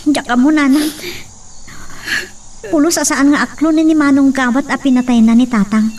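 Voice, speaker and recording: An actress playing an earnest, determined daughter in an emotional, melodramatic radio drama. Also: female voice, sits high, fairly even intonation, moderate pace, a little mellow, distant mic, lightly acted. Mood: worried. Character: earnest, determined daughter searching for her father.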